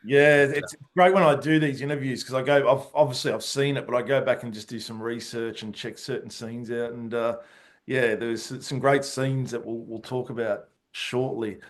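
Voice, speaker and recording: A man of middle pitch hosts an interview show and sounds keen on his guest. He reads his introductions and then chats casually while asking questions. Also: steady tone, normal speed. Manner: enthusiastic, with a scripted introduction. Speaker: podcast host. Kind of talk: friendly interview hosting.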